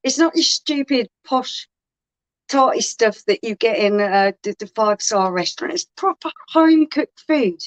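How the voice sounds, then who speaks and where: A woman chatting casually on a home livestream, quick and laughing often. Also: a little high, lively intonation. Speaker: co-host of a beer livestream. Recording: dull lo-fi livestream audio.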